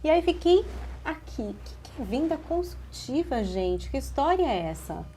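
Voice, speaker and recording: A woman's voice: high voice, big pitch swings, normal speed, warm tone, slight background noise. Chatty woman host asking questions. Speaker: podcast host. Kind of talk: casual interview questions.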